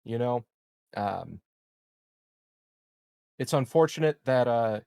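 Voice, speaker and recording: A man playing a tabletop roleplaying game over voice chat, stammering through his sentences. He swears casually and delivers his jokes deadpan. His voice is dry and close to the mic. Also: medium pitch, takes it slowly, gravelly, clean tone, dry recording. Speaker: player.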